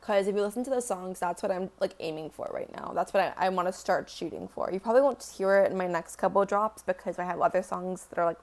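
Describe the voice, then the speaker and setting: A young woman who sings, answering fan questions in a loose, rambling way full of fillers and casual swearing. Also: a little mellow. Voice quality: deeper singing voice. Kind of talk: casual Q&A chat.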